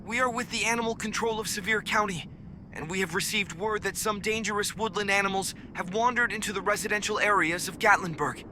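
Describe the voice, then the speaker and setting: A man's voice: sits high, brisk, background noise, natural voice. A man playing a nervous accomplice in a horror drama, anxious and hesitant as he speaks. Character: nervous male accomplice posing as animal control. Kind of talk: analog horror drama acting.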